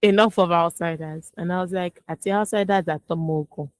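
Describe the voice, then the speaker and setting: A Nigerian woman co-hosting a radio newspaper review, reading headlines briskly and adding short remarks. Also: on the low side, steady tone, no room sound. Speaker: radio news review co-host. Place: radio studio.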